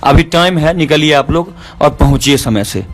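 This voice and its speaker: High-pitched Bihari man arguing by phone. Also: expressive, normal speed. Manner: argumentative, insistent. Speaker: stage show organizer. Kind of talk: heated phone negotiation. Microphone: phone call. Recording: phone call recording with faint music.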